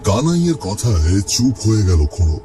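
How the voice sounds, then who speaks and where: Male storyteller for spooky cartoon: fairly low voice, suspenseful delivery, music clearly underneath. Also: very animated, brisk. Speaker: narrator. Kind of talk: scripted horror story narration. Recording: music behind the voice.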